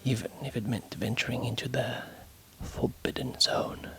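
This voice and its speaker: A man voicing a weathered old fisherman who is gruff and mischievous, speaking in a conspiratorial whisper. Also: medium pitch, level tone, moderate pace, fairly bright tone.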